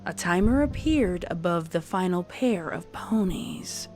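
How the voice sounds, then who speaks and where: Low-voiced woman narrator. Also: expressive, a little slow, a little mellow, faint music behind. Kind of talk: scripted story narration.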